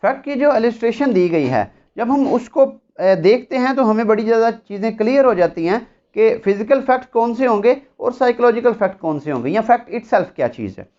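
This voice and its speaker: A speaker with a Pakistani accent giving an explanatory law lecture with examples, in a didactic, deliberate way. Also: medium pitch, lively intonation, a little mellow. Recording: dull, lo-fi audio.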